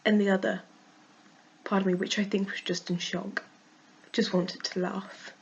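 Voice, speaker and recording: A woman with a cold and a croaky voice, frankly venting in a casual vlog and laughing often. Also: mid-range voice, little pitch movement, unhurried, muffled recording. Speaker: vlogger.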